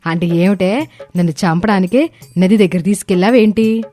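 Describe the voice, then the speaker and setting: A woman's voice: mid-range voice, moderate pace, warm tone, soft background music, close to an everyday voice. Woman playing a scolding, sarcastic older mother-in-law. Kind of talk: animated moral story dialogue.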